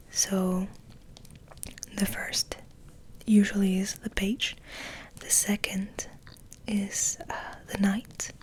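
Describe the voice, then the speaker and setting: Female ASMR host: breathy whisper, gentle and musing, flat intonation, unhurried pacing. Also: mid-range voice, rough recording. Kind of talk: soft whispered ASMR ramble.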